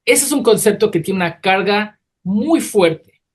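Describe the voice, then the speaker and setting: A man's voice: high voice, expressive, unhurried, slightly rough. A man speaks as an academic guest on a panel. He explains his ideas thoughtfully, in the conversational style of a university lecturer.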